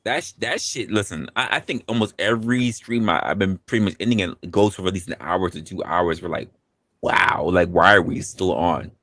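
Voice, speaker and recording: A man in his forties hosting a casual live stream chat. He is relaxed, laughs often and repeats himself as he talks. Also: sits low, normal speed, no room sound. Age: 40s. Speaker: host.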